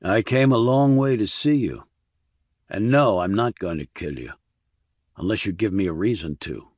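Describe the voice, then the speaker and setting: A man's voice: fairly low pitch, animated, moderate pace, darkish tone, no room sound, studio quality. Man playing a cool, blunt military investigator. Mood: firm. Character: hard, calm male military investigator pressing a suspect.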